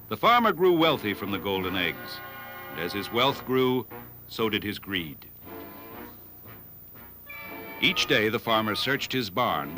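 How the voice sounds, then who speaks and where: A man playing a storybook narrator, telling moral fables in a measured, expressive scripted style. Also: on the low side, poor audio. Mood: grave storytelling.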